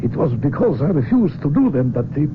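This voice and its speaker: An actor playing an aging, proud Russian research doctor in a radio drama, speaking formally and deliberately with a Russian accent. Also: male voice, on the high side, very animated. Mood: grave, bitter. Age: older. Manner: formal, deliberate phrasing, searching for words. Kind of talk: 1970s radio drama acting. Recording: noisy, narrow-band old radio recording.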